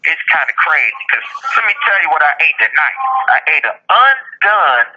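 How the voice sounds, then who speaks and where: Man, forties, incarcerated interviewee on a dull phone connection. Higher-pitched, candid, colloquial, emphatic. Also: very animated, normal speed, pushed and bright. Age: 40s. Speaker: incarcerated podcast guest calling from prison. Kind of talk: casual candid interview talk. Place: prison phone call. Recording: muffled, noisy prison phone line.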